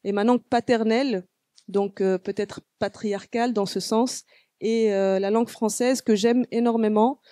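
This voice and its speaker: A woman with a mellow, dark voice speaks slowly and thoughtfully, often pausing and restarting. She is an Arabic speaker talking as a guest artist. The recording is close and dry. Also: a little high, fairly even intonation, no room sound. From Tunisia. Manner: halting, full of hesitations and restarts. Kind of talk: reflective panel talk.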